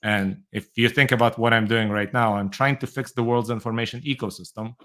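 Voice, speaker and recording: A man's voice: medium pitch, fairly even intonation, fairly quick, a bit bright, smooth. A middle-aged man from Moldova who grew up in Israel, answering interview questions thoughtfully with light laughs. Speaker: guest, tech entrepreneur.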